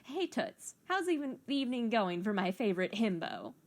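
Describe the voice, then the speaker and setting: A woman's voice: a little high, very animated, normal speed. A woman voicing a flirtatious, wisecracking cat-like woman in an amateur comic drama, with a teasing, theatrical manner. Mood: playful.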